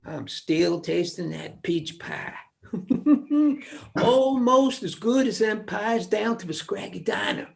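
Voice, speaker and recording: A woman's voice: medium pitch, animated, slow pace, gravelly. A woman playing a blunt, teasing hill-country sibling in a stage play, with an Appalachian accent. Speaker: actor in a one-act play. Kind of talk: stage play dialogue, reminiscing.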